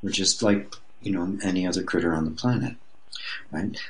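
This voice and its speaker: A man's voice: deep voice, normal speed, low-quality recording. A mature man speaking as an interview guest, explaining his personal-growth teaching in a reflective, conversational way with frequent restarts.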